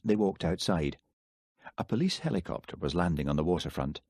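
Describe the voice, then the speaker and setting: A man narrating an audiobook in a steady, measured storytelling manner. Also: low voice, no room sound, clean studio sound. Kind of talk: scripted narration.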